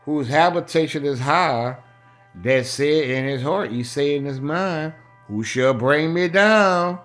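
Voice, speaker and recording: A man's voice: a little high, slow pace, fairly bright tone, soft background music. An American man preaching in a colloquial, emphatic and repetitive way, reading scripture aloud and adding his own running commentary. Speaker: street-style Bible preacher.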